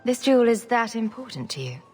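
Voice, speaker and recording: A woman's voice: on the high side, big pitch swings, a little fast, darkish tone, breathy edge, faint music behind. An actress playing a confident female adventurer in a video game, with a dry, teasing, sardonic manner. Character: confident, dryly witty female champion adventurer.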